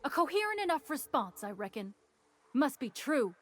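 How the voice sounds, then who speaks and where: A folksy frontier station guard. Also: female voice, high voice, very animated, normal speed, a bit bright, a little hiss or hum. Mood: wry. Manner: drawling, folksy. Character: folksy armed woman guard, wary but easygoing.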